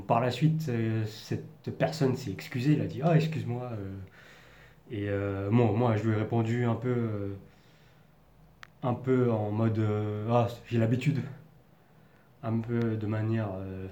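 Young man from the Paris region, vlogger, earnest and searching for words, warm dark tone, roomy sound. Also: on the low side. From France, Paris region. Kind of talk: personal storytelling to camera. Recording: echoey room.

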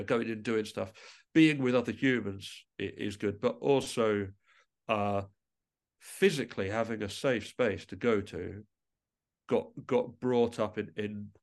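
A man speaking as a musician guest in a reflective interview, halting and often rephrasing mid-sentence. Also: lowish voice.

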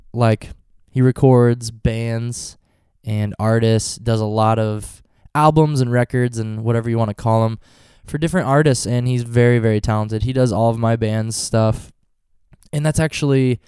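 A man's voice: medium pitch, mellow, smooth, no echo, studio quality. A man in his twenties with a stuffy cold, chatting casually in a vlog and wandering off into goofy tangents. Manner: rambling, goofy tangents and jokes. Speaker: vlogger and touring band musician.

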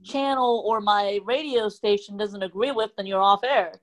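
A woman's voice: mid-range voice, steady tone, low-quality recording. Hesitant woman, second-language English speaker. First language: Cantonese. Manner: hesitant, halting. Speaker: guest, channel creator. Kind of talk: casual conversation.

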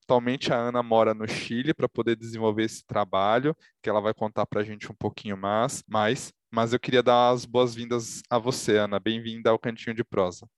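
A man hosting a podcast interview, his voice sitting at an ordinary middle pitch. He talks casually and chattily, leaning on little fillers as he introduces guests and asks questions. The sound is close and dry, with no room echo. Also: level tone, moderate pace, hardly any pauses, clear, resonant tone. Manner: chatty and friendly, with frequent fillers. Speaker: podcast host.

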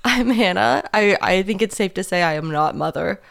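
A young woman co-hosting a podcast, with a gravelly edge of vocal fry running through her mid-range voice. She talks in a casual, giggly way and reads her intro lines plainly. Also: medium pitch, lively intonation, normal speed. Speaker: podcast co-host.

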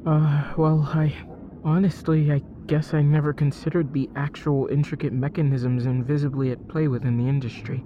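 A man's voice: a little high, level tone, moderate pace, natural voice. Man acting a deferential henchman, muffled and noisy. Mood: unsure, sheepish. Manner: hesitant, obliging, earnest. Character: deferential, naive male henchman of a villain. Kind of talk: audio drama acting.

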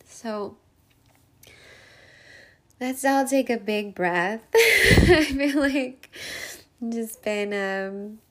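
A woman's voice: fairly high pitch, sing-song, drawn-out words, pauses often. A young woman, Hungarian by first language, hosting a podcast, talking openly and tearfully about heartbreak and grief, laughing through tears and using many fillers. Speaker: podcast host. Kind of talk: emotional personal monologue.

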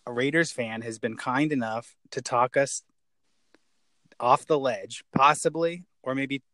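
A man's voice: mid-range voice, a little slow, pushed and bright, slight background noise. A man hosting a casual podcast interview, playful and wisecracking. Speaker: podcast host.

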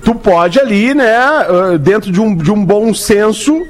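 High-voiced man, chatty. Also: unhurried. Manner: talks it through with many fillers. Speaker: co-host. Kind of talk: casual radio chat.

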